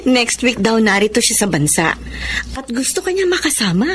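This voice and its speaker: A woman's voice: on the high side, big pitch swings, moderate pace, a bit bright, slight background noise. A woman with a Filipino accent playing an older, greedy, coaxing pimp in a radio drama, stretching words persuasively. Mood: sly, coaxing.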